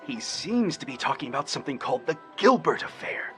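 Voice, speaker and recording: An actor playing an eager professor in an audio drama, excitedly revealing what old archives hold and reading aloud theatrically. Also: male voice, mid-range voice, a little fast, soft background music. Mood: conspiratorial, revealing. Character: eager scholarly male professor digging through old archives.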